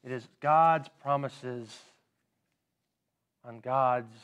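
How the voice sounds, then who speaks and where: A man in his thirties preaching a sermon in a church sanctuary, unhurried, often repeating words as he gathers his thoughts. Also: mid-range voice, a bit bright, clean tone, muffled recording. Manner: unhurried, with frequent word repeats and pauses; standing and gesturing while preaching. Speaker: pastor.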